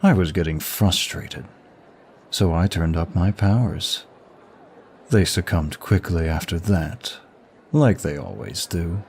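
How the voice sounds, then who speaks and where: A man playing a suave, teasing demon prince of lust in an ASMR roleplay, bored and sadistic, with a languid, husky delivery. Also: low voice, a little mellow, slight background noise.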